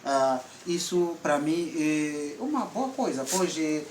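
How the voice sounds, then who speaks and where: Mozambican guy with central mozambique accent, casual chat, loud background noise, far from the mic. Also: a little high, lively intonation, reverberant. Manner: unhurried, switching between English and Portuguese, frequent fillers. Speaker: vlog host.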